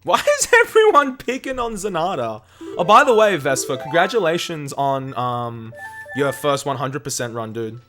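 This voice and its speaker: A man's voice: on the high side, sing-song, moderate pace, fairly bright tone, faint music behind. A young Australian man giving chatty, casual livestream gaming commentary, calling viewers mate and dude. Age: young adult. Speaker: video game speedrun streamer.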